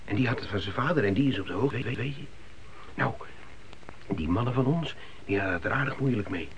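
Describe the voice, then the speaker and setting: An actor playing a chatty, scornful young emigrant son in a radio drama, speaking colloquially with repeated words. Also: male voice, medium pitch, lively intonation, fast talker, lightly acted. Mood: eager storytelling. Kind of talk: naturalistic radio drama dialogue. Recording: hissy old radio play recording.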